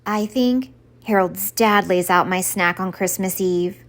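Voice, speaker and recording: A woman's voice: mid-range voice, a little slow, fairly bright tone, natural voice. A woman voicing a mopey, suspicious, stubborn old Santa Claus in a children's storybook, dramatic and insistent. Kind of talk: expressive children's storybook read-aloud.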